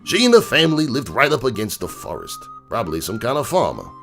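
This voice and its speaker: A man playing a gruff, streetwise crook horse uncle who tells bedtime stories in a slangy, cynical, digressing way. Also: medium pitch, huge pitch swings, fast, pushed and bright, heavily acted. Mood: wry, instructive. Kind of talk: dramatic reading of a comic bedtime story. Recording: music bed behind the voice.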